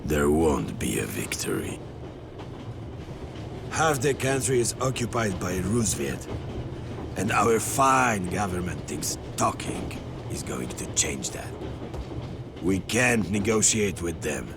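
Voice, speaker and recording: An actor playing a grim middle-aged resistance commander in a video game cutscene, terse, commanding and fervent. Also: male voice, deep voice, sing-song, slow pace, creaky, long pauses, background noise, full character voice. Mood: bitter, fervent. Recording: music behind the voice.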